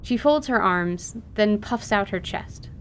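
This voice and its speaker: A woman narrates an audio drama from a script. Her voice is mid-pitched, and the recording carries clear noise and a low rumble. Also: animated, a little slow, a bit bright.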